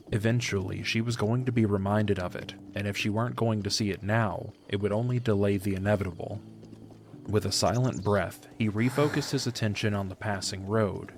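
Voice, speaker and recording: Male narrator for audio fiction: lower register, measured literary read, faint ambience behind. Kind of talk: scripted story narration. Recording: faint background ambience noise.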